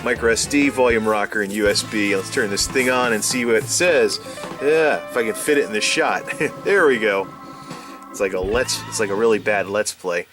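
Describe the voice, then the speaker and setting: A man's voice: fairly low pitch, normal speed, music in the background. A man hosting a casual, unscripted gadget unboxing, rambling and interrupting himself as he thinks aloud. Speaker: gadget unboxing video host. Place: indoors at a desk, small room.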